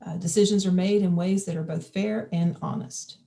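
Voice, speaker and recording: A woman's voice: on the low side, steady tone, gravelly, mellow. A woman organizing an online forum, giving housekeeping announcements with many hesitations. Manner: halting, many uh fillers.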